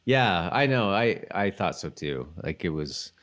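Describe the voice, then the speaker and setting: A man's voice: deep voice, big pitch swings, a little slow, a bit bright. A man co-hosting a podcast, chatting casually in a loose, rambling way as he thinks aloud. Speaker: podcast co-host. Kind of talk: casual chat about a TV episode.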